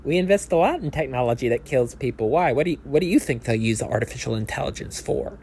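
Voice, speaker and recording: A man's voice: medium pitch, animated, fast, a little mellow, slight background noise, lightly acted. A man voicing a tipsy, nervous and eager basketball fan in an audio play, hesitant, stammering and incredulous.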